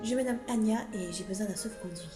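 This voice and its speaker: A woman voicing a spirited, blunt young orphan in an animated film fandub, speaking directly and earnestly. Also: mid-range voice, brisk, lightly acted. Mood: indignant. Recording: echoey reverb with film music.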